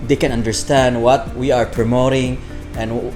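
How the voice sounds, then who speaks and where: A man's voice: mid-range voice, level tone, normal speed, over music. A man with a Filipino accent, from Bohol, hosting a chatty food review vlog, full of repeats and fillers. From Philippines, Bohol. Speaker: food vlogger.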